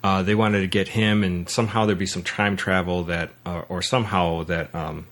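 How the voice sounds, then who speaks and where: A man hosting a podcast in a casual movie discussion, speaking in halting, hesitant phrases with repeated word starts. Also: low voice, level tone, a bit bright. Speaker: podcast host.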